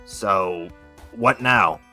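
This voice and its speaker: A man's voice: on the low side, drawn-out words, pushed and bright, faint music behind, heavily acted. A man voicing a practical schemer planning a rescue in an amateur cartoon comic dub. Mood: businesslike.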